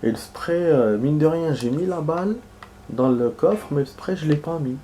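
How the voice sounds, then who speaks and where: A man's voice: on the high side, animated, normal speed, warm tone, a little hiss or hum. A man with a cold and a nasal voice, giving casual commentary while playing a video game, rambling with many fillers and restarts. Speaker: gaming video host.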